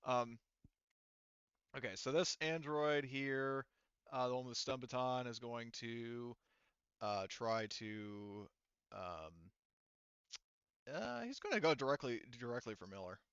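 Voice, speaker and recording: A man's voice: medium pitch, expressive, takes it slowly, long pauses, fairly bright tone, clear, resonant tone, no room sound, dull audio. A man acting as game master, casually narrating a tabletop game and explaining rules while thinking aloud.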